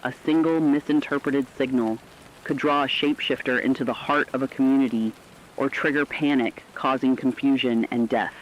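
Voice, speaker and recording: A man giving dramatic scripted science-fiction narration with a serious, deliberate delivery. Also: medium pitch, fairly even intonation, muffled recording, slight background noise.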